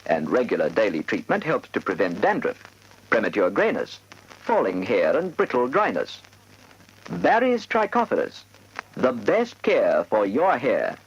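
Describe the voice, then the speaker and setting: On a dull vintage radio recording, a man reads a commercial as an old-time announcer. His voice is husky and extremely animated, swinging up and down through the sales copy. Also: on the low side, a little slow. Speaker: advertising announcer.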